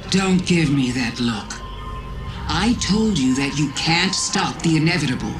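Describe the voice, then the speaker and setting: A man playing a smug, soft-spoken, scheming manipulator in a fantasy video game, speaking deliberately and insinuatingly. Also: on the high side, lively intonation. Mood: smug, taunting. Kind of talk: video game cutscene acting. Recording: loud music behind the voice.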